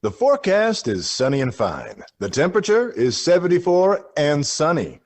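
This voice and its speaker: A man's voice: on the high side, huge pitch swings, normal speed, heavily acted. An actor playing an eccentric, brooding male radio host, delivering theatrical scripted monologues in a portentous, philosophical manner. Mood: offhand.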